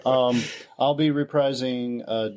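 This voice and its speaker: A man's voice: fairly low pitch, moderate pace, vocal fry, darkish tone. A man chatting casually as a player in a tabletop role-playing game. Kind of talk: casual chat.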